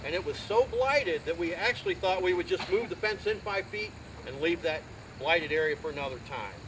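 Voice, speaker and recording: Man in his fifties, loud and animated, high-pitched voice, outdoor speech, clear traffic noise behind. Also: brisk. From Ohio. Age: 50s. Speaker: community volunteer. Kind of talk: speech at a ceremony. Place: outdoors at a garden.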